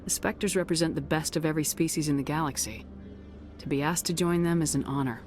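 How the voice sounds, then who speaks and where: An actress playing a composed, diplomatic military commander in a space game, speaking in a measured, assured manner. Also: female voice, low-pitched, a little mellow, faint music behind, close to an everyday voice.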